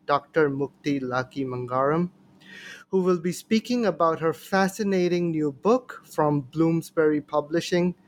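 A man hosting a podcast in a formal, courteous manner, reading a prepared introduction and putting questions to a guest. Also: on the high side. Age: adult, professor. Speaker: podcast host. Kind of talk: scripted introduction and interview hosting. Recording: noticeable background noise.

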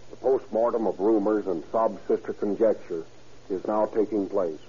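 Dark-voiced man in old radio address. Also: fairly low pitch, normal speed, breathy edge. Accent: American. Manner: solemn, formal oratory. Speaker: police chief guest commentator. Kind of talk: 1930s radio address, scripted. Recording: muffled vintage 1930s radio broadcast.

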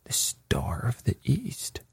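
A man's voice: on the low side, a little slow, mellow, dry recording, full character voice. A man voicing a haggard, bewildered nobleman in an audiobook, flustered and spluttering. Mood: agitated, bewildered. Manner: flustered, breathy exclamations.

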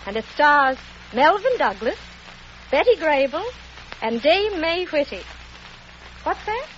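An actress playing a cheerful receptionist on the phone in a 1940s radio promo, sing-song and upbeat. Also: female voice, sits high, very animated, slow pace, full character voice. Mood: chirpy. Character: chirpy woman receptionist on the phone. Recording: dull 1940s radio broadcast with hiss.

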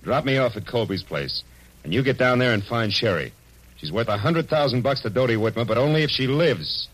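An American actor playing a tough, sardonic private detective in an old radio noir drama, with curt, slangy delivery. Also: male voice, medium pitch, animated, a little fast, a bit bright, breathy edge, rough recording. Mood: brisk, urgent. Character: hard-boiled, bullying male private detective, sardonic and tough. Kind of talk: 1940s-1950s radio noir acting and first-person narration.

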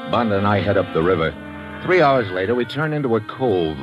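Wisecracking American pilot, lowish voice, casual, tough, old radio drama, music behind. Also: male voice, very animated, a little fast, highs cut off. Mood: brisk narration. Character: cocky, wisecracking American pilot and undercover adventurer. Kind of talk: old-time radio drama acting and first-person narration. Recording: vintage radio drama recording.

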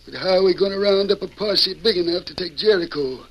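An actor playing an excitable, folksy storekeeper with an American Western drawl in an old-time radio western, full of grumbling rustic talk. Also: male voice, high voice, a little fast. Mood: puzzled, grumbling. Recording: noisy old radio recording.

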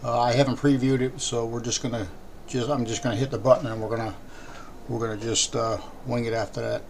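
An American man with a raspy voice hosting a podcast, talking off the cuff in a halting, rambling way. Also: medium pitch, flat delivery. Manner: halting, throat-clearing, rambling. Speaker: podcast host. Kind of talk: unscripted podcast talk. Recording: clearly noisy background.

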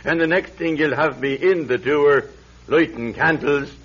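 An actor playing a sickly, guilt-ridden old Irish drinker in a radio drama, halting and lamenting in an Irish accent. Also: male voice, a little high, fairly bright tone, husky. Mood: mournful, wary. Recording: muffled vintage radio recording, faint hum.

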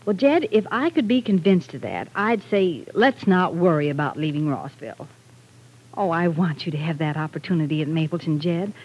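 A woman's voice: low voice, animated, normal speed, a little mellow, slightly rough, rough recording. An actress playing a worried, caring middle-aged mother in 1940s radio soap style. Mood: troubled, tender.

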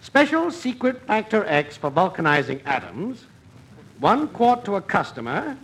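An actor playing a grandiose, wheedling schemer in 1940s radio comedy, coaxing theatrically with little stammers. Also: male voice, high-pitched, sing-song, a little slow, fairly bright tone, full character voice, low-quality recording. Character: fast-talking, wheedling, grandiose male swindler friend. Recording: vintage radio recording with faint noise.

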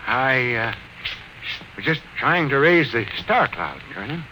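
An actor playing an anxious, dutiful military radio sergeant, hesitant and deferential, in 1950s radio drama style. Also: male voice, medium pitch, sing-song, unhurried, pushed and bright. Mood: guarded. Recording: noisy old radio recording.

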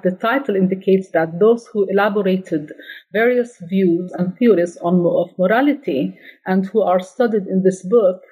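A woman's voice: mid-range voice, warm tone, slightly rough, poor audio. A middle-aged woman being interviewed about her book, answering carefully with frequent restarts. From born in Slovenia, raised in Jordan. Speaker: guest author, ethics scholar.

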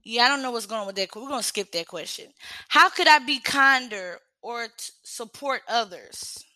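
A woman's voice: fairly high pitch, animated, moderate pace, sharp tone, no echo, low-quality recording. A young woman hosting a livestream, reading self-reflection questions aloud and answering them frankly in casual chat. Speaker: livestream host.

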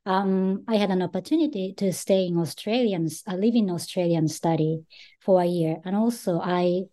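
Mellow-voiced Japanese-accented woman scholar. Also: on the low side, moderate pace. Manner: thoughtful, with frequent "um" and "you know". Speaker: author guest, associate professor. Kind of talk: podcast interview.

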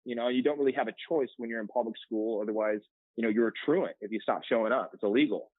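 A man's voice: mid-range voice, fast talker, breathy edge, no echo. Young man, podcast guest, phone-line sound, dry, rambling, candid. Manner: rambling, filler-heavy, candid. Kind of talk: casual interview storytelling.

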